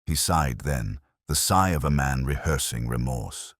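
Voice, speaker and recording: A man with an extremely low voice narrates a detective story in a solemn, literary way. His delivery is measured, yet the pitch moves about with real variety. The sound is close and dry. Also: animated, vocal fry, a bit bright, dry recording. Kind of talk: scripted first-person detective narration.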